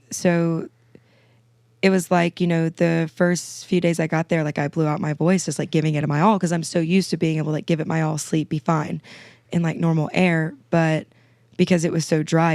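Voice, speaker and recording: A young woman in her twenties, naturally raspy and a bit stuffed up from a cold, chatters eagerly and piles on fillers. Her voice stays smooth and clear under the rasp. The sound is dry and close. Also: deep voice, a little mellow, dry recording. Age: 20s. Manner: chatty, gushing, lots of fillers. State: a little sick, sinusy. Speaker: guest singer-songwriter. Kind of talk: casual podcast chat.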